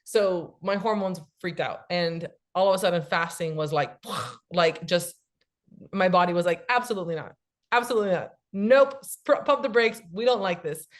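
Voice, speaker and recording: A woman in her late thirties, a cookbook author, talking casually and explaining things quickly with many fillers. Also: fairly low pitch. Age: 30s. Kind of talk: casual podcast chat.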